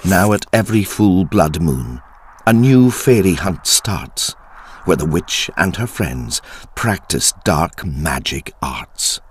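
A man narrating a dark verse tale as a storyteller, theatrical, deliberate and ominous, with a husky deep voice. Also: lively intonation. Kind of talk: dramatic scripted storytelling in verse.

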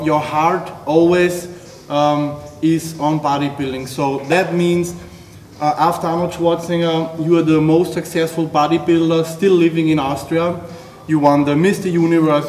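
A male interviewer, measured and a little hesitant. His voice is fairly high and very even in tone, heard in a reverberant room. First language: not English. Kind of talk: unscripted interview questions. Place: seated indoors in a gym lounge. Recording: echoey hall, faint background noise.

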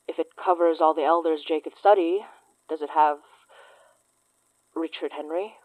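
Female twenties investigator, low and dry-sounding, deadpan tease, world-worn, for a horror audio drama. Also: normal speed, no echo, low-quality recording. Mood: guarded, earnest. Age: 20s. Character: wry, teasing, trauma-worn young woman investigator with psychic senses. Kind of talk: naturalistic audio drama acting.

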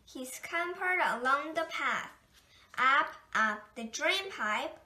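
A woman reading a children's picture book aloud in a gentle, unhurried storytelling manner. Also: high-pitched, expressive. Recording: echoey room.

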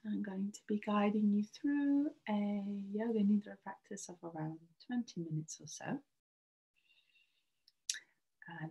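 A woman guiding a yoga nidra relaxation in a soothing, breathy manner that often lapses into a near-whisper. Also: medium pitch, warm tone, lo-fi sound. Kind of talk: guided relaxation meditation.

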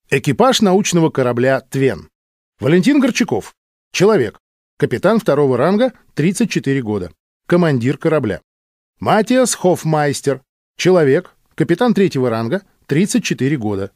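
A man narrating an audiobook from a script in measured, clearly enunciated phrases. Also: on the high side, a little mellow, dry recording, clean studio sound.

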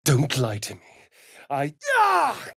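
A man's voice: fairly high pitch, huge pitch swings, slow, gravelly, fairly bright tone, husky, dry recording, studio quality, full character voice. A man playing a sardonic, theatrical male vampire rogue in a video game, with an arch, drawling delivery. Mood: agitated. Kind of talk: video game character acting.

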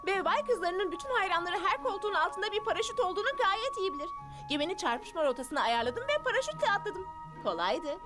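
A woman's voice: very high-pitched, very animated, fairly quick. A woman voicing a brainy, eager little girl with precise, bookish phrasing in a dubbed children's cartoon. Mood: proud. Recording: music behind the voice.

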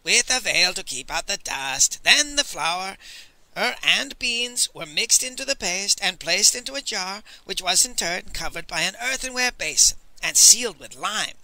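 A man's voice: high-pitched, animated, moderate pace, bright, forceful tone, no echo, pitched above the performer's own voice, exaggerated voice, rough recording. A man voicing a crafty, irascible old sage in a storybook, haughty and given to indignant scolding. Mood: angry. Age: older.

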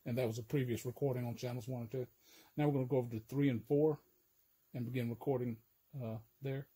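An older man giving an informal, hands-on equipment demonstration, explaining step by step in a halting, methodical way. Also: medium pitch, fairly even intonation. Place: indoor room full of audio gear. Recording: loud noise and electrical hum.

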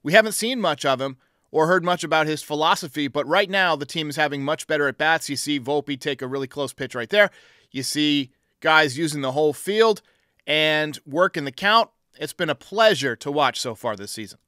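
Male sports talk host, thirties, forceful and clean-voiced, close on the mic in a studio. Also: a little high, animated, normal speed, no echo. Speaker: host of a sports fan show. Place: small home studio, indoors. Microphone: close studio mic on boom arm.

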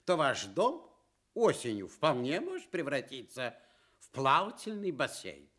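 A man's voice: on the high side, huge pitch swings, unhurried, a bit bright, exaggerated voice. A man voicing a learned, fussy male stork in a radio fairy tale, with a wordy, formal, pedantic manner.

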